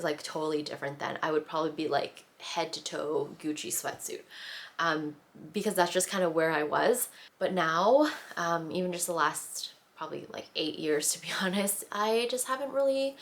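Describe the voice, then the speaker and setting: Low, gravelly-voiced young woman vlogger. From Philippines. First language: Tagalog. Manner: chatty, filler-heavy, with frequent restarts. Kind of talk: casual chat.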